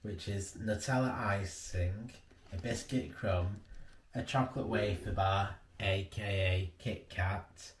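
Easygoing man, low voice. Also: takes it slowly, a little hiss or hum. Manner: easygoing, descriptive. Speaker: vlog co-host. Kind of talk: casual talk to camera. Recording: roomy echo, faint ambience.

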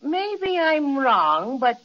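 A woman's voice: sits high, big pitch swings, drawn-out words, full character voice. A woman voicing a small, cautious stuffed toy bear in a 1930s radio drama, fretful and pleading. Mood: worried. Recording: muffled 1930s radio transcription.

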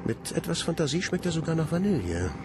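An actor playing an obsessed, grandiose scientist, speaking a measured diary monologue in a German radio drama. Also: male voice, fairly high pitch, big pitch swings, noisy background. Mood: grandly triumphant. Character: obsessed male scientist, solemn and grandiose about his research.

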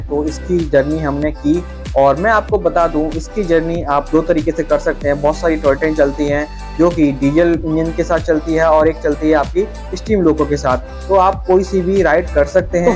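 Man, travel voice-over, informative guide tone, slightly high voice, faint music. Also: a little mellow. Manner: informative tour-guide tone, addresses viewers as friends.

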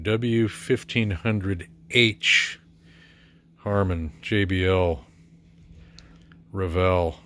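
Slow-talking, low-voiced American man. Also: a bit bright. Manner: halting, unscripted, matter-of-fact. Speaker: speaker repair technician. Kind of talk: casual repair walkthrough, narrated while filming. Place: cluttered indoor repair workshop. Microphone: handheld camera or phone mic. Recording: faint background noise.

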